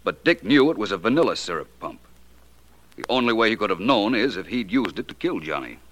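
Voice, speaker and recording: An actor playing a confident American detective in 1940s radio style, speaking deliberately with self-assured, dramatic emphasis. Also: male voice, lowish voice, very animated, fairly bright tone, slight background noise. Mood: assured, explaining. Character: confident, sharp-witted male detective explaining a murder case.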